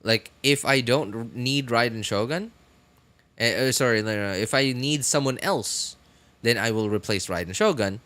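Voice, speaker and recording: A man talking casually on a live game stream in a rambling, halting way, often correcting himself. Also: mid-range voice, fairly bright tone.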